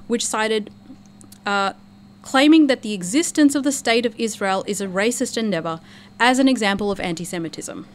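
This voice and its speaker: A woman chairs a live forum at a middle pitch. She reads with steady emphasis over a light background hiss. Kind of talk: read speech and announcements. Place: lecture room at a public forum.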